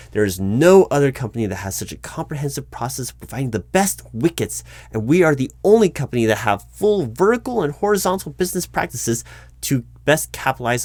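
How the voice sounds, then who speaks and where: A man's voice: mid-range voice, no room sound. Dry-sounding, formal corporate man interviewer. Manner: formal, wordy, politely corporate. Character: polite, officious male corporate recruiting manager. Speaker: roleplay performer as corporate recruiting manager. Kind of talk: one-sided ASMR job interview roleplay, spoken aloud.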